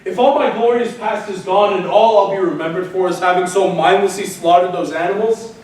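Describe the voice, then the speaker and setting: A man's voice: fairly quick, natural voice. A man playing a grief-mad warrior hero. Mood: despairing. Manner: declamatory stage delivery, swinging from anguished shouts to low despair. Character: grief-maddened proud male warrior hero. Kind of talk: stage tragedy acting. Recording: echoey room, far from mic, faint noise.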